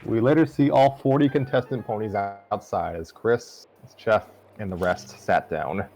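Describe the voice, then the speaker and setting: A man reading a fan story aloud as a narrator, haltingly, stopping to correct his misreadings. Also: lowish voice, darkish tone, a little hiss or hum. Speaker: story reader. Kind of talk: scripted narration read aloud from fan fiction, with stumbles.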